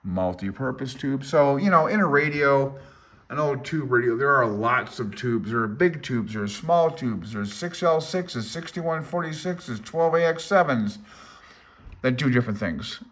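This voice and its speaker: A man's voice: mid-range voice, lively intonation, unhurried, a bit bright. An older man gives a casual, unscripted read-along explanation as a hobbyist presenter, rambling, thinking aloud and openly unsure of himself. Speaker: amateur radio hobbyist presenting a handbook.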